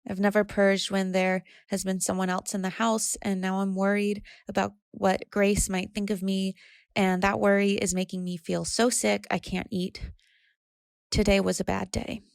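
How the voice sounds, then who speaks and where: An actress as a troubled young woman in her twenties in an audio drama. Her voice is creaky and recorded dry and close, at an ordinary pitch. She speaks candidly and quietly, as if confessing. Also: little pitch movement, moderate pace, no room sound, lightly acted. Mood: subdued, vulnerable. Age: 20s. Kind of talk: audio drama monologue and dialogue.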